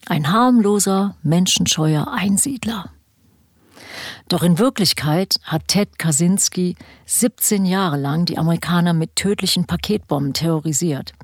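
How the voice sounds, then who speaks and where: A woman with a husky, breathy voice presenting a scripted true-crime introduction in a suspenseful storytelling manner. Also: on the low side, measured pace, no echo, studio quality. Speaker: podcast presenter.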